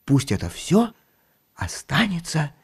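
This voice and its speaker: Husky, very animated storyteller. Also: male voice, a little high, takes it slowly, exaggerated voice. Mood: confiding. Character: confiding male storyteller speaking as the author. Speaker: narrator. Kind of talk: radio play storytelling narration.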